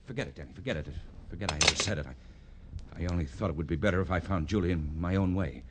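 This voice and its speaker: A man's voice: low-pitched, quick pace, close to an everyday voice. A man playing a pompous, easily cowed underworld big shot in a 1950s radio crime drama. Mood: panicked. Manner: boastful and menacing, pleading under pressure. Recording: old radio broadcast, faint background noise.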